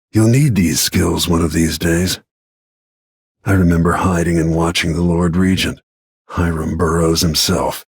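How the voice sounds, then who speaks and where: A man voicing a grim, battle-worn middle-aged royal protector and assassin in a video game, with terse, brooding, measured delivery and a gravelly, husky voice. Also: low voice, no echo, studio quality, full character voice. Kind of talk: scripted video game character lines.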